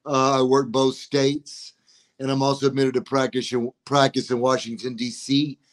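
A man of around sixty, a guest on a podcast, talking in a courteous, measured, slightly formal way. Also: medium pitch, little pitch movement. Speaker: guest, attorney and rapper. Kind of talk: casual interview talk.

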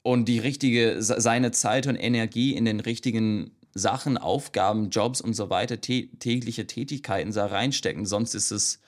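A man's voice: on the low side, normal speed, hardly any pauses. A young man in his twenties, a guest coach talking casually in an interview, hesitant with many restarts and fillers, dropping in English words.

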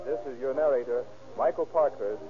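A man's voice: mid-range voice, normal speed, loud music behind the voice. An American man announcing in a formal, emphatic 1930s radio style, reading scripted copy. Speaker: announcer and narrator. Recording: muffled 1930s radio recording.

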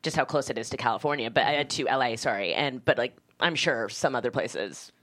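A woman with a low voice talks as a screenwriter guest in an interview. She tells anecdotes in a loose, chatty, informal way, often dropping in "like". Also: a little fast, a bit bright. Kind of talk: casual anecdotal interview chat.

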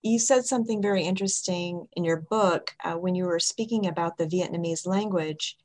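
A woman's voice: fairly low pitch, fairly quick, a little mellow. A woman interviewer asking questions in a conversational interview.

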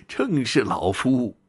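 A man voicing a genial, courteous old village patriarch in a folk tale, hospitable and stately, with drawn-out, coaxing phrases. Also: mid-range voice, breathy edge, pitched above the performer's own voice, full character voice.

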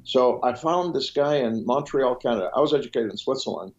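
A man's voice: mid-range voice, animated, fairly quick. Man with a dull remote line. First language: French. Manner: explanatory, with frequent restarts and trailing lists. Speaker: physician guest. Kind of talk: interview answers on a podcast.

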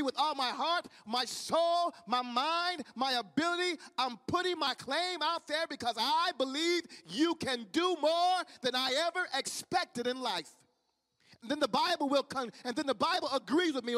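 Midwestern male preacher, very high voice, fervent repeating cadence, long hall reverb. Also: pushed and bright. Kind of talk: sermon.

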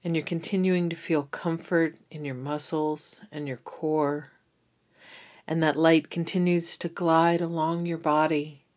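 A woman gently leading a guided meditation with soothing, unhurried instructions. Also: sits low. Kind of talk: guided body scan meditation.

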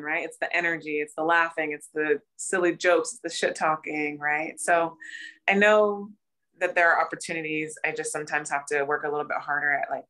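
A woman with a low but bright, forceful voice, a touch raspy today. She muses aloud in casual podcast talk, circling back and restarting her thoughts. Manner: reflective, thinks aloud with restarts. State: raspy voice from cheering. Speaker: podcast co-host. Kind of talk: casual chat.

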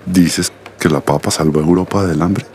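A man's voice: deep voice, steady tone, normal speed, a little mellow, slight background noise, natural voice. A man playing a serene pediatrician in his fifties, a grateful father with a Colombian accent, in an audio drama. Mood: curious, serene. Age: 50s. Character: serene middle-aged male pediatrician, grateful, protective father. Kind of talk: audiobook radio-drama acting. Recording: music behind the voice.

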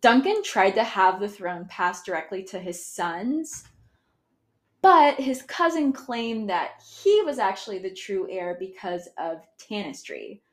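A woman's voice: medium pitch, very animated, a little slow. A woman with an American accent hosting a history podcast, explaining casually, correcting herself and often laughing. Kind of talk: casual educational talk.

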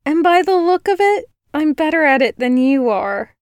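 Woman reader doing a bold young village girl, high and bright, smug and teasing; storybook read. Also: very animated, a little slow, no room sound, clean studio sound.

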